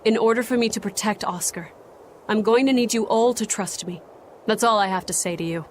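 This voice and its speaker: An actress playing a stern young noblewoman exorcist who speaks in a cold, formal, measured way. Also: medium pitch, a little hiss or hum, lightly acted. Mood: accusing. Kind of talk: game voice acting.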